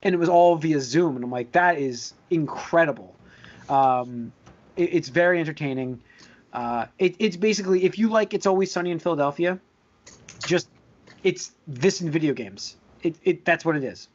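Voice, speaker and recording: Higher-voiced man podcasting, slightly muffled. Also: animated. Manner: halting, hedging, repeats words. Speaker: podcast host. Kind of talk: casual chat about movies and shows. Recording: dull lo-fi voice recording.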